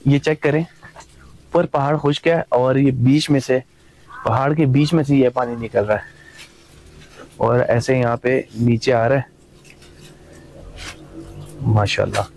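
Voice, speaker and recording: A man's voice: medium pitch, steady tone, slow pace, vocal fry, pauses often, darkish tone, slight background noise, poor audio. A man vlogging his mountain trip, chatty and enthusiastic, talking to viewers on a trail outdoors. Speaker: travel vlogger. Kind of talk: casual travel vlog narration.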